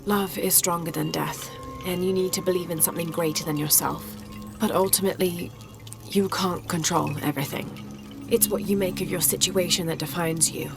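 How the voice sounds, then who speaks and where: An actress playing a thoughtful young woman adventurer in a video game, measured and sincere. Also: lowish voice, fairly even intonation, gravelly. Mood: earnest, calm. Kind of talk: video game cutscene acting. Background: music.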